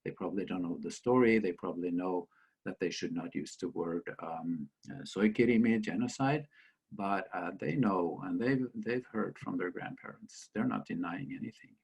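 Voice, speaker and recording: A man's voice: low voice, moderate pace, vocal fry, darkish tone, slightly rough. A man from Norway speaking as a guest author, telling stories conversationally and often quoting people as he goes. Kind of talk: conversational storytelling at a book talk.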